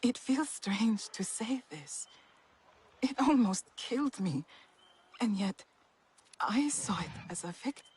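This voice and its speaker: A woman playing a proud, stern alien huntress in a video game, brooding and deliberate. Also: a little high, very animated, a little mellow, husky, full character voice. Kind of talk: video game cutscene acting.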